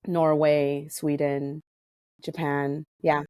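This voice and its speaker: Interview guest: American woman, deep mellow voice, conversational storyteller, dry sound. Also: level tone, extremely slow, no room sound. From Hawaii. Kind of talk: casual personal storytelling in conversation.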